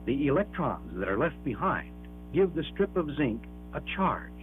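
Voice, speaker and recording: A man reads a scripted educational film narration in a measured, emphatic, explanatory manner, on an old 1960s film soundtrack. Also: fairly high pitch, big pitch swings, faint music behind. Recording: dull band-limited 1960s 16mm film soundtrack.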